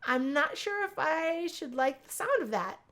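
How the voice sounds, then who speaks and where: Visual novel lead: earnest female student voiced by a woman, courteous, slightly bashful, higher register. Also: very animated, moderate pace, a bit bright. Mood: shy, friendly. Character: earnest, helpful, bookish young female college student. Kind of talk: visual novel first-person character.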